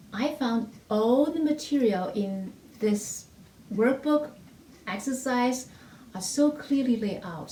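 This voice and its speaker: A woman whose first language is not English tells her story as a music theory teacher, earnest and halting, with many restarts. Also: medium pitch, mellow. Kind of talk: personal testimonial to camera. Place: small room indoors with a piano. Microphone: camera in front, medium framing. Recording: echoey room with faint hiss.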